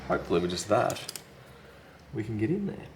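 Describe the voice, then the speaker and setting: Low, gravelly man giving a repair tutorial. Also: big pitch swings, fairly quick, background noise. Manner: unscripted, thinking aloud while working. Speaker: repair technician, video host. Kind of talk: casual hands-on tutorial commentary. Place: indoors at a repair workbench.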